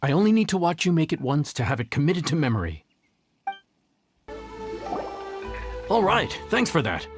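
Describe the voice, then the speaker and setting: A man's voice: fairly high pitch, very animated, fast talker. A man playing a friendly, humble young inn chef in a video game, talking in a casual, hesitant, chatty way. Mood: easygoing. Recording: background music under the voice.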